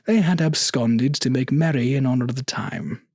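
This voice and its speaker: A man narrating a gothic short story in a deliberate, theatrical style. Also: medium pitch, a little mellow, dry recording, studio quality.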